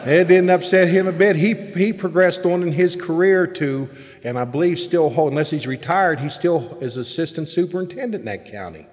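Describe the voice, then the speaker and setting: A man's voice: mid-range voice, a little mellow. A middle-aged man speaking candidly at a recovery meeting, telling his story plainly with emphatic bursts. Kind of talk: personal recovery talk to a meeting. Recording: long hall reverb.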